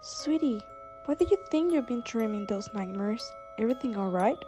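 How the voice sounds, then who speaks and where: A woman playing a caring, worried mother with a gentle, coaxing manner in an amateur radio drama. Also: fairly high pitch, expressive, moderate pace, faint music behind, close to an everyday voice. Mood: concerned.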